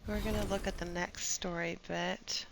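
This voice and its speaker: A woman's voice: on the low side, fairly even intonation, moderate pace, vocal fry, noisy background. A woman giving casual live commentary as she streams a game playthrough.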